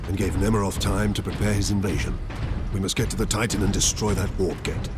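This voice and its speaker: A man acting a stern, resolute space marine captain in a video game, speaking in a grave, measured, commanding way. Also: low-pitched, lightly acted. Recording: loud music and battle noise behind.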